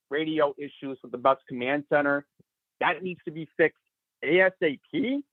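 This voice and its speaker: Urgent man with a tinny, dry sound. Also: dry recording. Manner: brisk, urgent, rising in pitch as he presses points. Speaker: public commenter for a rider group. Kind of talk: prepared public comment at a board meeting. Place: public meeting room.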